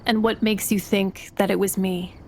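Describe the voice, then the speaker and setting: A woman playing a poised, menacing con woman in an audio drama, speaking in a formal, measured, taunting manner. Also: mid-range voice, little pitch movement, slight background noise, lightly acted. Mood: coolly taunting.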